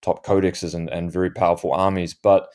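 Low mellow guy on a podcast, kinda croaky, lots of ums and restarts. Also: little pitch movement, brisk. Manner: thoughtful, with many fillers and restarts. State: slightly croaky voice. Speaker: guest, tournament winner. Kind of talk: podcast interview.

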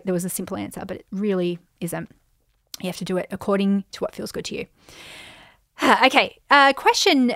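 Australian woman, 30s, podcast host, chatty, slightly croaky, dry close sound. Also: medium pitch, dry recording. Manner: chatty, animated, frequent "um" and "like". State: voice getting croaky. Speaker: podcast host, business coach. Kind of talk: casual solo podcast, answering listener questions.

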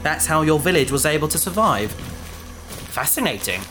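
An actor playing a bookish, curious young adventurer who talks eagerly, like a lecturer. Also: male voice, on the high side, sing-song, moderate pace. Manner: enthusiastic, lecturing. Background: music.

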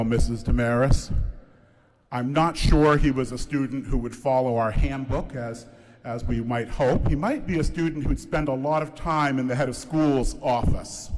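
A man serving as head of school gives an informal sermon to a church congregation, chatty and joking with people by name. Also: mid-range voice. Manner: warm, chatty, jokes with the audience by name. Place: large church sanctuary. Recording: long reverb of a church hall.

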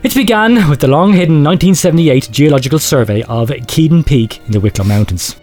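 A man playing a grave researcher, telling a horror confession in a measured, clinical and ominous way. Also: a little high, lively intonation, darkish tone, faint music behind. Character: grave male researcher confessing secret horrific experiments. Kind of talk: dramatic horror storytelling, read aloud.

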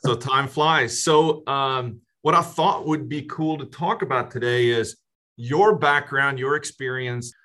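A man hosting a casual podcast interview, speaking haltingly with frequent restarts and fillers. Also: mid-range voice, pushed and bright. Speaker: podcast host.

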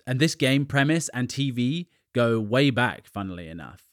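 Uk guy hosting a podcast, lowish voice, dry close mic, rambly and chatty with jokes. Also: no room sound. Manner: chatty and conversational, with asides and little jokes. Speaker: podcast host. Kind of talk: casual solo podcast monologue.

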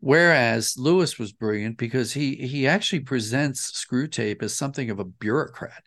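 A man's voice: medium pitch, moderate pace, fairly bright tone. A man speaking as an interview guest, explaining his reflections earnestly and with emphasis. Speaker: interview guest, audio dramatist.

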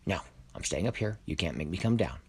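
A man's voice: deep voice, animated, a little fast, a little mellow, husky, heavily acted. A man voicing a sneaky, defiant lizard trickster who pretends to be a talking cake in a children's story. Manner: defiant, cheeky.

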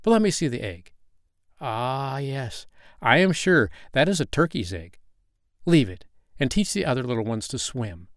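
A man's voice: medium pitch, very animated, normal speed, dry recording, clean studio sound, voice pushed up, natural voice. A man voicing a know-it-all old female duck in a storybook reading, bossy and opinionated.

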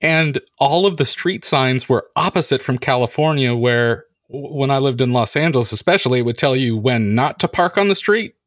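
A man at an ordinary middle pitch hosts a relaxed chat. He spins anecdotes with energy and acts out what people said. His voice sits dry and close to the mic. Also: normal speed, hardly any pauses, no echo. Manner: animated anecdotes, acting out quoted lines. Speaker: podcast host. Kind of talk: casual conversation, storytelling.

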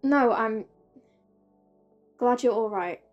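A woman's voice: on the high side, takes it slowly, highs cut off, faint music behind. A woman playing a stern, guarded, rule-minded doctor at a space clinic in an audio drama, clipped and formal. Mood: tense, guarded. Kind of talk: sci-fi audio drama acting.

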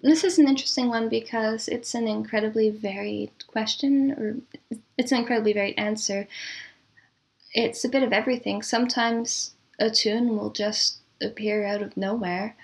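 A woman answering as a composer guest in a casual, chatty interview, quick to laugh. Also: fairly high pitch, steady tone, creaky.

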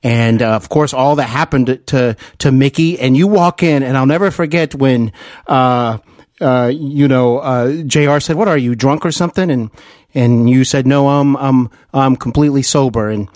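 A man hosting an interview podcast, speaking in an earnest, halting way with repeated words as he recalls things like a devoted fan. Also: medium pitch, a little mellow, no echo, studio quality. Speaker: podcast host. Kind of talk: interview, enthusiastic fan recollection.

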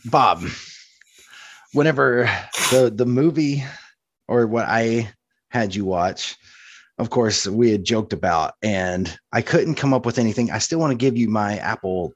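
Man with mid-pitched voice, casual chat. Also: measured pace, highs cut off, a little hiss or hum. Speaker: podcast co-host. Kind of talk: casual chat reviewing media.

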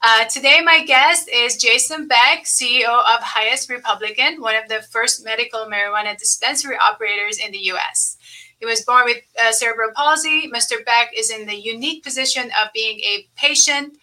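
Bright-voiced woman hosting a podcast. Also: on the high side, brisk, low-quality recording. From Lebanon. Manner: chatty, many ums and restarts. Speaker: podcast host. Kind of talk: casual live podcast interview.

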